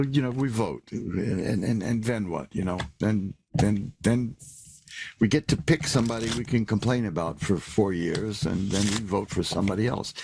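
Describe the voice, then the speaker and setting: An older man with a raspy voice hosting a live radio show, rambling in a casual monologue with wry asides and repeated words. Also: lowish voice, a little mellow, a little hiss or hum. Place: New York.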